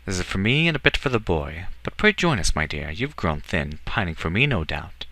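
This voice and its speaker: A man voicing a sardonic, haughty tall male elf with a quick temper and a theatrical, teasing manner in a storybook reading. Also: low voice, very animated, sharp tone, no echo, full character voice. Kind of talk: audiobook character acting.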